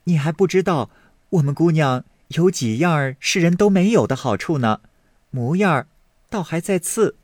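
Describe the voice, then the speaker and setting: A man's voice: a little high, animated, normal speed, no echo, pitched above the performer's own voice. A man voicing a playful sixteen-year-old maid girl in a lilting, coy voice, in a dry, close recording.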